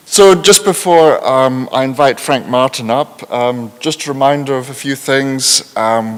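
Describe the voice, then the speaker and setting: Guy hosting a conference session, informal announcements bit hesitant, echoey hall, medium pitch. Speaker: session host introducing speakers.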